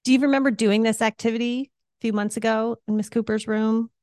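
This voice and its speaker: A woman's voice: on the high side, steady tone, a little fast, darkish tone, no echo, clean studio sound. A woman co-hosting a school podcast in a conversational interview style.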